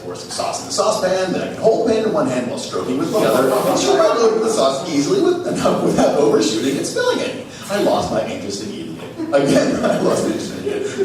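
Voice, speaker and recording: A man hosting a live comedy show, reading aloud for laughs with theatrical, drawn-out emphasis. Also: a little high. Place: small theater stage. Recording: echoey, noisy live recording from far off.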